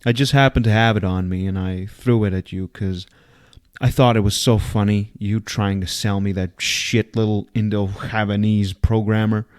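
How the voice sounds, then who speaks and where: A man voicing a brash, volatile young woman hacker in a story reading, with excitable, slangy, emphatic talk. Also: fairly low pitch, moderate pace, clean tone, natural voice. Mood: excited, insistent.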